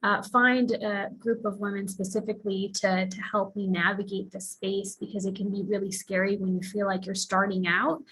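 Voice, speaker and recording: A woman's voice: mid-range voice, little pitch movement, normal speed, hardly any pauses, fairly bright tone, breathy edge, poor audio. A woman from the Philippines speaking earnestly as a panelist, sharing her own path with many fillers. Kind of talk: panel discussion, personal account.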